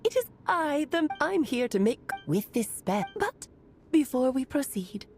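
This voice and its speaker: A playful, grand witch for a fantasy game, high-voiced with huge swoops in pitch. She takes her time theatrically, with clear music behind. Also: sing-song, measured pace, a little mellow, full character voice. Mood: playfully grand. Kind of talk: video game storybook acting.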